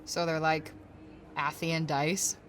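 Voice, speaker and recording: An actress playing a blunt, reluctant young heroine in a casual, naturalistic video game style. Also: female voice, low voice, flat delivery, slow, fairly bright tone, faint music behind. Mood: curious, dry.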